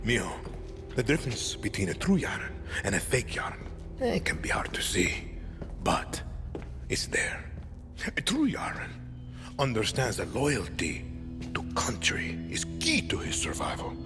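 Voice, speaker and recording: An actor playing a commanding, menacing middle-aged male dictator, speaking in a measured, theatrical way in a game cutscene. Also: mid-range voice, wildly swooping pitch, over music. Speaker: president addressing the nation. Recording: film score behind the voice.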